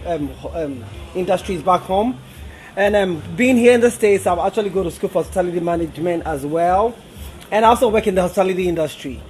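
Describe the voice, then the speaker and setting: High-voiced young man from Ghana. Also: a little mellow. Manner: friendly, explanatory, some repeated words. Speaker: event coordinator and guest services manager. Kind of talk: interview guest. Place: upstairs lounge of a restaurant.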